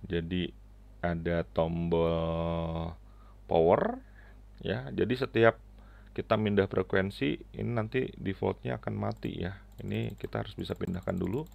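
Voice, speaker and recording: Casting: middle-aged man, tutorial presenter, very gravelly and unhurried, halting phrasing, slightly muffled headset sound. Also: sits low, fairly even intonation, darkish tone. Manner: halting, short phrases with frequent tag words. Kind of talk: unscripted app tutorial.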